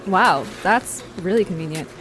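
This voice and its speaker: A woman's voice: on the low side, lively intonation, moderate pace, over music, close to an everyday voice, rough recording. A woman playing a friendly, curious young adventurer who talks casually and asks questions in an audio drama. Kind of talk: acted audio drama dialogue.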